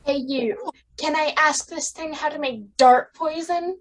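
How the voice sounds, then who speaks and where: A woman's voice: high voice, measured pace. A woman voicing a bratty, defiant little boy in a radio play, whiny and demanding. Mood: eager, pushy.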